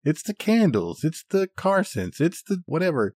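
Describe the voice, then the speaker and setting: A man's voice: on the high side, sing-song, normal speed, a little mellow, no echo, clean studio sound. A man co-hosting a podcast in casual banter, given to exasperated, exaggerated ranting. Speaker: podcast co-host.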